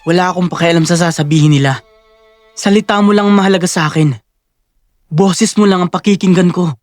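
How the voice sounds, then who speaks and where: Resolute young gentleman in love acted by a man, earnest, defiant, dry close sound, music behind. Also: high voice, brisk, dry recording, natural voice. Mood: tender earnest. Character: devoted, defiant young rich heir in love.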